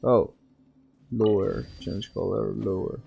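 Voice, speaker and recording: A man's voice: lowish voice, fairly even intonation, slow, vocal fry, mellow, faint music behind. A man playing a video game and thinking aloud in casual commentary, self-mocking and given to exclamations and muttering. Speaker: gaming streamer, player.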